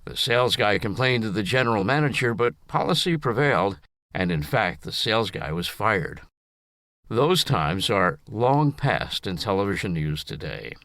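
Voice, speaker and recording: A man's voice: lowish voice, big pitch swings, moderate pace, fairly bright tone, no echo, studio quality. An older man reading his own personal story in a scripted narration style.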